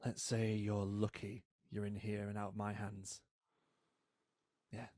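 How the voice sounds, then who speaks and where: An actor in an audio drama playing a taunting, cold, vengeful British brother who speaks in a mocking, unhurried way. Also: male voice, on the low side, monotone, darkish tone, dry recording, clean studio sound, lightly acted. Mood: gloating, menacing.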